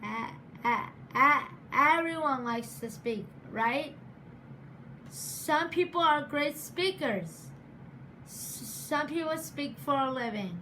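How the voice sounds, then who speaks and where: Slow, bright-voiced woman who stutters. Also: high-pitched, animated, slight background noise, rough recording. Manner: stutters with sound and word repetitions; deliberate, measured delivery. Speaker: program graduate giving a speech. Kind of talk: prepared speech.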